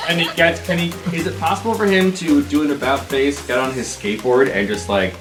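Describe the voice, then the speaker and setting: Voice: mid-range voice, brisk. A tabletop roleplay player chatting casually and jokingly while narrating a character's moves. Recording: roomy, off-mic, loud music behind.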